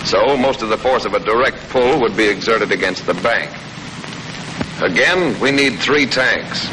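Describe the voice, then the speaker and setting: An American man reading scripted 1940s military training film narration in a clear, matter-of-fact, instructive manner. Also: lowish voice, measured pace. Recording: noisy old 1940s film soundtrack.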